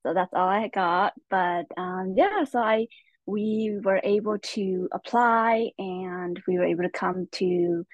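A young woman from California telling her life story as a podcast guest, soft-spoken and hesitant with many pauses. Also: medium pitch, no echo, lo-fi sound. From Stockton, California.

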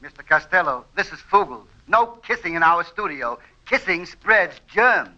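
A man's voice: a little high, animated, normal speed, sharp tone, dull audio. A man playing an officious, stiffly forbidding studio censor in a 1940s radio comedy.

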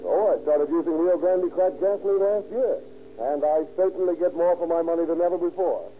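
An actor playing a folksy, satisfied motorist in a 1930s radio commercial. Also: male voice, on the high side, fairly even intonation, a little fast, lightly acted. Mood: agreeable, confident. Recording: tinny, noisy old radio recording.

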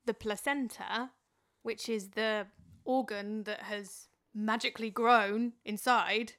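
A young pregnant woman with a Dartford accent, co-hosting a casual chat, teasing and quick to laugh. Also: fairly high pitch, fairly even intonation, fairly bright tone. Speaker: podcast co-host.

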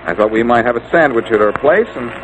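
An actor playing a wry, confident amateur sleuth in the style of 1940s radio drama. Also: male voice, medium pitch, animated, quick pace, dull audio, lightly acted. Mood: teasing. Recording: noisy old radio drama recording.

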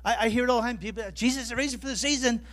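A man's voice: very high voice, very fast, a bit bright. An Irish man preaching as a pastor, chatty and self-deprecating, telling humorous personal stories in his sermon. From Ireland. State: jet lagged.

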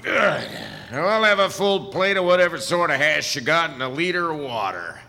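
A man's voice: fairly high pitch, lively intonation, normal speed, gravelly, pushed and bright, full character voice. A man playing a wry, crusty older traveling wizard with folksy cowboy ways in a comic stage show. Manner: wry, folksy, hesitant asides. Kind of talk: comic audio theatre acting before an audience. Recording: reverberant live theatre hall.

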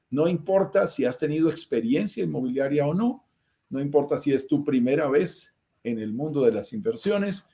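A man's voice: mid-range voice, dark tone. A man with a Colombian accent who presents a conversational live show in a courteous, explanatory manner. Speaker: host and commercial director of a real estate investment business.

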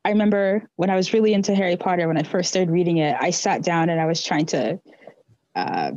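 A woman with a low, mellow voice co-hosts a relaxed book discussion. She muses her way through each thought and often backs up to rephrase. The recording is dry and a little muffled. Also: brisk, no room sound. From Zambia, raised in the United States. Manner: reflective, thinks aloud.

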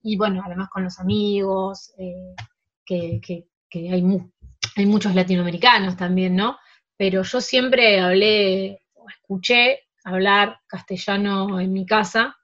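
A woman's voice: mid-range voice, steady tone, measured pace, a bit bright. Argentine-accented woman, hesitant, lo-fi sound. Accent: Argentine Spanish. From France. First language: Spanish. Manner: hesitant, many fillers and restarts. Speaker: table tennis player, interview guest. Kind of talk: conversational interview answers. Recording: dull lo-fi sound.

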